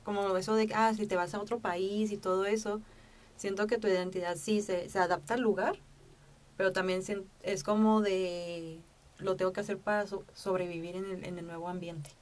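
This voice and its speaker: A woman's voice: medium pitch, level tone, normal speed. A woman co-hosts a podcast and asks questions while hunting for her words. She sits a little away from the microphone, so her voice sounds distant. Her speech is casual and unscripted. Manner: hesitant, searching for words. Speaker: podcast co-host. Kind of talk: casual conversation. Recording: distant from the mic.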